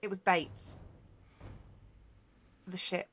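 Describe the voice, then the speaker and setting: An exhausted, guarded Vulcan captain acted by a woman, low-voiced and grave. She speaks in clipped, halting phrases with some creak in the tone, over music in a sci-fi game. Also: fairly even intonation, a bit bright. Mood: grave, weary.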